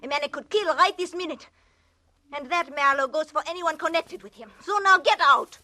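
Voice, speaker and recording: A sultry, dangerous woman with a thick French accent. Her voice is fairly high and bright, with theatrical delivery in the style of 1940s radio drama. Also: moderate pace. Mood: venomous. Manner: purring, theatrical.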